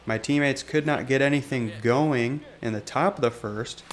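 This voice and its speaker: Young male gaming host, raspy and hoarse, loose rambling delivery, mid pitch. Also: moderate pace. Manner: rambling, hesitant, lots of ums. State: hoarse from illness. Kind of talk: casual gameplay commentary.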